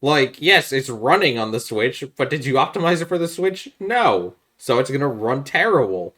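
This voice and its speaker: Guy podcast host, middle pitched voice, casual gaming chat, kinda opinionated. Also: big pitch swings, moderate pace, a bit bright.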